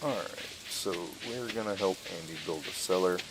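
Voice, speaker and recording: A man giving casual let's-play commentary, thinking aloud in short, low-key remarks. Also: moderate pace. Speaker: gaming streamer. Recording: loud noise with rain-like clicking.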